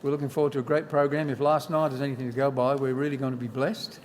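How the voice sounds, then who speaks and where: A pastor welcomes a guest speaker from the front of the church. His mid-pitched voice sounds distant from the mic. A faint hiss runs under it. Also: level tone, fast. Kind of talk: welcome announcement.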